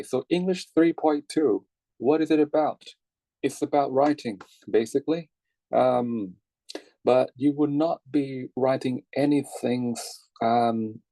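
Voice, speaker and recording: A man's voice: medium pitch, warm tone, no room sound, low-quality recording. A young Thai man, a native Thai speaker, teaching an online English writing class in a chatty way, full of fillers, often checking in with "right?" and "okay?". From Thailand. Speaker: lecturer. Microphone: computer mic on video call.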